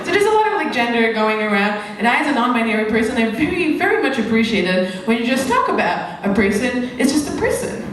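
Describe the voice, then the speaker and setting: High-voiced Hungarian woman in echoey club. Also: big pitch swings, fast, few pauses. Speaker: stand-up comedian. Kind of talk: live stand-up comedy. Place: comedy club stage before an audience. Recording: echoey live venue, distant mic, noisy.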